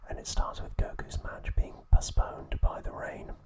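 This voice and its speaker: A man narrating an ASMR recap in a soft, breathy whisper, with measured delivery in short phrases. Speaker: ASMR video host.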